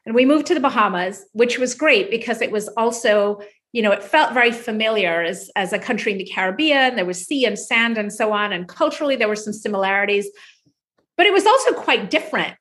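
A woman with a Jamaican accent, a physician guest, telling a lively personal story in an interview. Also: a little high, fairly quick.

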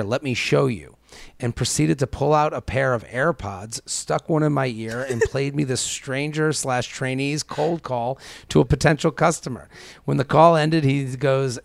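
Man podcaster with a creaky, dry-recorded voice. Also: mid-range voice, dry recording. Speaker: podcast co-host. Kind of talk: casual podcast banter, reading listener emails aloud.

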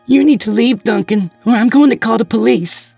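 A man's voice: a little high, a little fast, a little mellow, no echo, faint music behind, pitched above the performer's own voice, lightly acted. A man voicing a stern, disapproving mother fed up with her husband, in a scolding, clipped audiobook delivery. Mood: alarmed, angry.

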